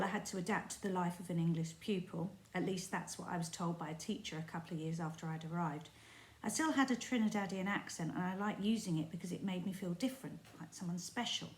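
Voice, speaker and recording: A woman teacher reading a chapter of a book aloud in a steady, expressive storytelling voice. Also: fairly low pitch, a little fast. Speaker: primary school teacher. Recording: roomy echo.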